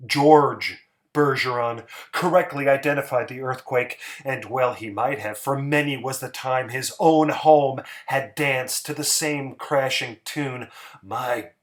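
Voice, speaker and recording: A man giving a dramatic story narration, theatrical and emphatic, with pauses for effect. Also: mid-range voice, steady tone.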